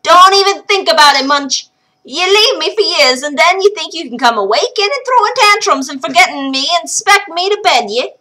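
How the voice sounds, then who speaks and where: A woman doing a shrill ranting old wife. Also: very animated, normal speed, pushed and bright, exaggerated voice. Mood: scolding.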